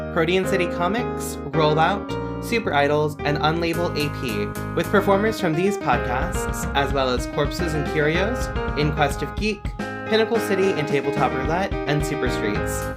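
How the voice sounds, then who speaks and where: A podcast announcer reading scripted credits in a measured, clearly enunciated way. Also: medium pitch. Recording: loud music under the voice. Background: guitar music.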